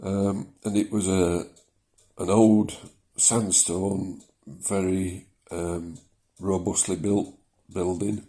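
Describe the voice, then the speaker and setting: A man in his seventies with a softened South Yorkshire accent talks into a mobile phone from his living room. His voice sits fairly low and has a mellow, relaxed tone. He rambles unhurriedly, with plenty of ums and pauses. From South Yorkshire, England. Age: 70s. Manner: rambling, hesitant, with many ums and small laughs. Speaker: vlogger. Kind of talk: casual personal vlog.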